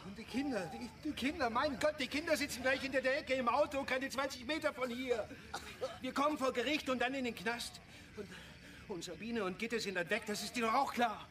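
A man's voice: very high voice, fairly quick. An actor playing an anxious, protective father with a nervous, urgent manner in an audio drama. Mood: panicked. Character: anxious, protective father dragged into vigilante violence. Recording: noisy background of outdoor ambience.

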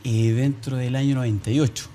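A man's voice: medium pitch, fairly even intonation, a little fast, warm tone, a little hiss or hum. A man with a Chilean accent, an evangelical pastor speaking insistently and defiantly as a guest in a TV studio.

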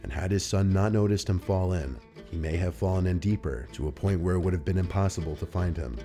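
A man reading scripted narration in a measured, serious manner. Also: deep voice. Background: music.

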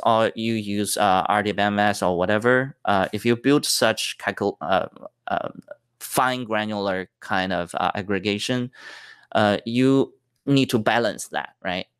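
A man's voice: fairly low pitch, fairly bright tone, clear, resonant tone, dry recording, studio quality. A man giving a technical conference talk over slides, explaining step by step with many fillers and restarts. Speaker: tech presenter.

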